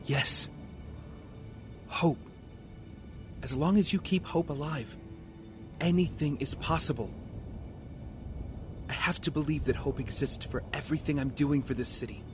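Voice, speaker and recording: Man playing resolute young vigilante, audio drama, earnest, emphatic, fairly high male voice, music behind. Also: measured pace, long pauses, lightly acted. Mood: resolute, hopeful.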